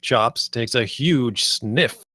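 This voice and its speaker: A man's voice: mid-range voice, very slow, bright, forceful tone, dry recording. A man narrating the stage directions of a script at a table read.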